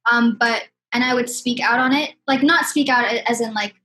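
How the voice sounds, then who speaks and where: Guest, woman from Sudan, heartfelt casual talk, higher-pitched. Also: moderate pace, bright, forceful tone, a little hiss or hum. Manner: candid, heartfelt, many "you know what I mean". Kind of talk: casual conversation.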